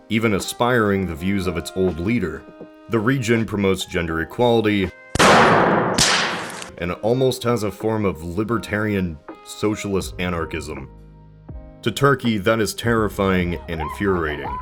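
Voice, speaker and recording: A man with a low voice narrates a scripted explainer. His phrasing is dry and emphatic, dropping into conversational asides, and music plays behind him. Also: unhurried. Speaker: video essay narrator.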